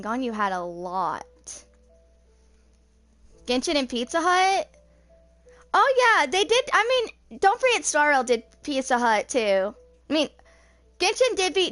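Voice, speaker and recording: A woman's voice: fairly high pitch, a bit bright. A woman in her twenties chatting casually while gaming, with a rambling, informal way of talking. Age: 20s. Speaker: video game streamer.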